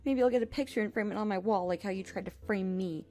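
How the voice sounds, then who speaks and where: Woman acting defiant accused woman, mellow dark voice. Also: medium pitch, quick pace, faint music behind, natural voice. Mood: combative. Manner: sassy, combative. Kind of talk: audio drama acting.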